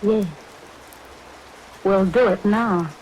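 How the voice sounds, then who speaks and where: A woman's voice: mid-range voice, drawn-out words. An actress playing a cold, scheming, commanding woman who speaks in clipped, insistent questions, in vintage radio thriller style. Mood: scheming, brisk. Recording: vintage radio recording, hiss, rain behind.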